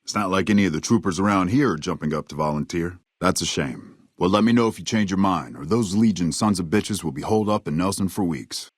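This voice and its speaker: Husky, low-voiced American frontier ranger. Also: male voice, no echo, studio quality. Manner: folksy, drawling, sardonic tough talk. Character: gruff, wry, cynical frontier army ranger man. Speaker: game character voice actor. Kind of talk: video game dialogue lines.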